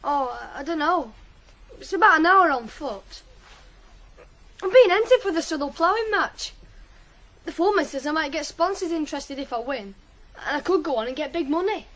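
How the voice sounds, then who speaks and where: A woman's voice: high-pitched, animated, moderate pace, long pauses, a bit bright, rough recording. A woman playing an eager, shy teenage farm boy in a radio drama, hesitant and earnest. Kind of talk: 1980s British radio drama acting.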